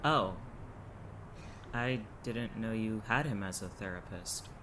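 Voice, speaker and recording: A man playing a dazed, drugged and subdued young man in an audio drama, speaking in a halting, muted way. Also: fairly low pitch, noisy background. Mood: dull, confused. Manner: halting, flat, muted delivery.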